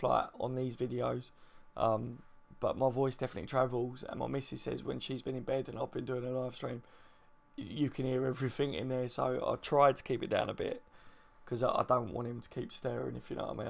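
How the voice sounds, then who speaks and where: A man with a deep voice hosting a casual live stream from home, speaking quietly and in a laid-back way with the mic right in front of his mouth. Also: vocal fry. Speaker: channel host. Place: at home, baby asleep next door.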